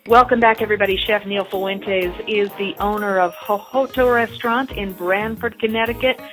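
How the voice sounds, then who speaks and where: A woman's voice: medium pitch, fairly even intonation, normal speed, soft background music, poor audio. A woman hosting a radio interview in a friendly, upbeat presenter manner. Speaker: radio host.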